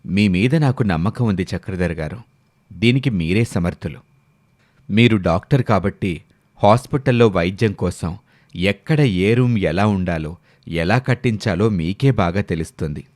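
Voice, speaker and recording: A man voicing a grave, trusting village gentleman with a formal, measured manner in a dramatized story. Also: sits low, expressive, no room sound, clean studio sound, voice pushed down. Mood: reassuring.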